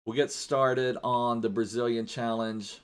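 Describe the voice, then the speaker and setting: A man's voice: medium pitch, fairly even intonation, a little slow, clear, resonant tone. A man streaming a football video game live, chatting casually in a rambling way and thanking viewers as he plays.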